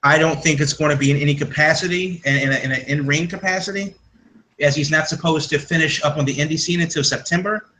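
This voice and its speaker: A man's voice: on the high side, steady tone, quick pace, pushed and bright, rough recording. A man hosting a casual podcast, rambling through news with many fillers and restarts. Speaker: podcast host. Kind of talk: casual wrestling news chat.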